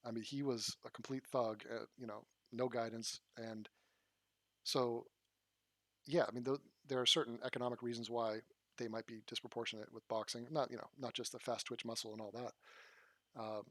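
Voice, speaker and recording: A man's voice: medium pitch, fairly even intonation, brisk, a bit bright, no echo. Male channel host, casual and blunt, mid voice, dry close sound. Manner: blunt, rambling, swears freely. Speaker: channel host reacting to a clip. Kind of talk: casual commentary.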